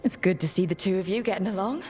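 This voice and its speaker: An actress playing a wry, encouraging young leader of a band of heroes in a video game. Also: female voice, mid-range voice, fast, mellow, soft background music, close to an everyday voice. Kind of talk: video game cutscene acting.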